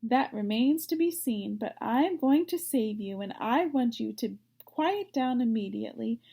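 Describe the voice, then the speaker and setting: Lady reading as a calm motherly spider, firm and no-nonsense, mellow creaky voice, storybook. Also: on the high side, a little slow, exaggerated voice. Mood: brisk, firm. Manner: composed, firm, matter-of-fact.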